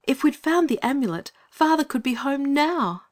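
A man's voice: very high voice, expressive, normal speed, voice pushed up. A man voicing a thoughtful, sensible and earnest young girl in an audiobook. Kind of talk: audiobook character voice.

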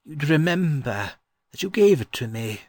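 Guy doing old scholar in a storybook, slow n dreamy, wistful, pitched up, close dry sound. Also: animated, no room sound. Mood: fond, wistful. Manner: halting, musing, fond. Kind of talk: audiobook character voice.

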